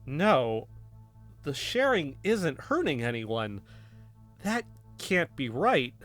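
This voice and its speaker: A man's voice: a little high, big pitch swings, slow, fairly bright tone, faint music behind, exaggerated voice. An actor playing a defensive, loyal male investigator in an audio drama styled as a podcast. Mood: dismissive.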